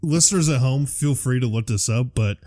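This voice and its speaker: A man's voice: mid-range voice, normal speed, warm tone. A man hosting a podcast in casual, profane chat, reading out film trivia and riffing on it. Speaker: podcast host.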